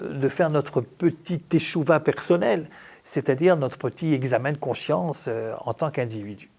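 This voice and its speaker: A man's voice: fairly high pitch, creaky, darkish tone. A man from France, a rabbi giving an informal religious talk in a conversational, humorous storytelling manner, with rhetorical questions. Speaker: rabbi teaching.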